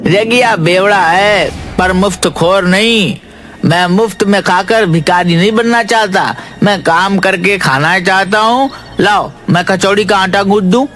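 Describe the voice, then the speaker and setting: A man's voice: high voice, measured pace, fairly bright tone, soft background music. A man voicing a sly, wheedling village drunkard in a Hindi cartoon, boastful and eager to scheme. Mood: pleading. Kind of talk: Hindi moral-story cartoon acting.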